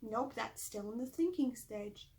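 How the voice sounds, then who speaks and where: A woman's voice: fairly high pitch, animated, a little slow, dark tone. A woman voicing a playful, teasing creative older sister in a storybook. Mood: coy, teasing. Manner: coy, teasing.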